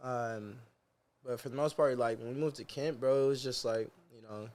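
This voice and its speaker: A young man from the Seattle area sits in as a guest on a podcast. He talks in a loose, slangy way and keeps quoting what he told himself. His voice sits at an everyday middle pitch. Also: fairly even intonation, normal speed, a little mellow. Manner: laid-back slangy talk, quotes himself. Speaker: guest musician. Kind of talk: casual interview chat.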